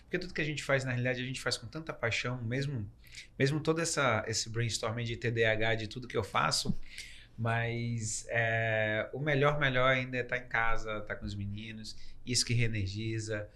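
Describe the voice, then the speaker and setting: A man from Brazil, raised in Recife, a guest speaking casually with teasing jokes and animated bursts. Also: mid-range voice, moderate pace. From Brazil, born in Salvador, raised in Recife. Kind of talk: casual interview talk.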